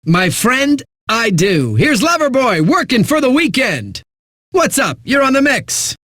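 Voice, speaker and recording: An actor playing a breezy, smug radio DJ in a video game, delivering hyped, sardonic patter between eighties songs. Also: male voice, very high voice, big pitch swings, unhurried, a bit bright, breathy edge, no echo, studio quality, heavily acted. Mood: glib, upbeat.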